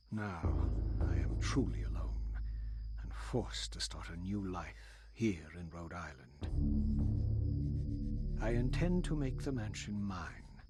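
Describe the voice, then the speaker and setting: Very deep, brooding gentleman heir. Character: brooding middle-aged gentleman heir of an old mansion. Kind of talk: video game monologue. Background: loud music.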